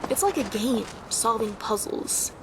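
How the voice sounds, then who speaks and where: A woman's voice: on the high side, lively intonation, a little slow. A woman playing a bookish companion in a fantasy video game, speaking scripted dialogue. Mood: musing. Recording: distant, buried under loud game noise.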